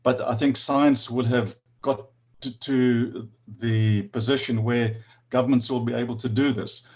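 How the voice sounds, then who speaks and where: A man with a South African accent speaking on an online panel, halting, with many restarts and stammers. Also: mid-range voice, steady tone.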